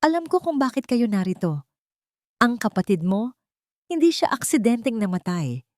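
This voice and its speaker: A woman's voice: a little high, sing-song, normal speed, a little mellow, no echo, studio quality, voice pushed up. A woman voicing a grieving, frightened old man confessing what he witnessed in an audio drama story. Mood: sad, grave.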